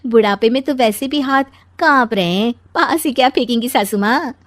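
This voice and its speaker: A woman's voice: fairly high pitch, normal speed, dry recording, natural voice. A woman voicing a confident, cheeky young daughter-in-law in a cartoon story, teasing and cocky. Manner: bright-spirited, teasing, cocky. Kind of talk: Hindi cartoon story acting.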